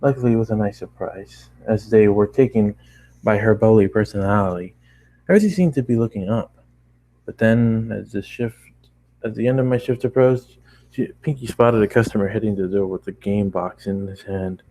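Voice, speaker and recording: A man's voice: fairly low pitch, moderate pace, mellow, low-quality recording. A man narrating a first-person story as an amateur reader.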